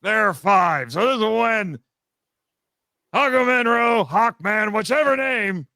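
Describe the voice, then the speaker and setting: A man's voice: high voice, lively intonation, takes it slowly, vocal fry, bright, forceful tone, dry recording, studio quality. A man chatting casually as a podcast guest, explaining trivia in a teasing, mock-condescending way. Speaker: podcast guest, fan audio drama writer and voice actor.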